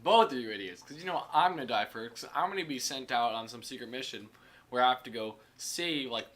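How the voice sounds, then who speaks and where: Young man, podcast co-host, animated storyteller, bright tone, average pitch. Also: a little fast. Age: young adult. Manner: animated storyteller, laughs often. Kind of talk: casual chat among friends.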